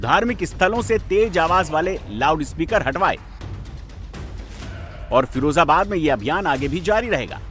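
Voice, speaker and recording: A man's voice: on the high side, animated, moderate pace, fairly bright tone, soft background music. A man reading a dramatic scripted TV news voice-over in a punchy, emphatic way. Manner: punchy, emphatic, repetitive phrasing. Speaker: news reporter narrator.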